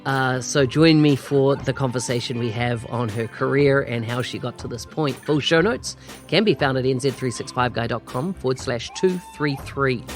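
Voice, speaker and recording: A man from New Zealand hosting a podcast, asking friendly, quick questions and chuckling now and then. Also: mid-range voice, soft background music. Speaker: podcast host. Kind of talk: conversational podcast interview.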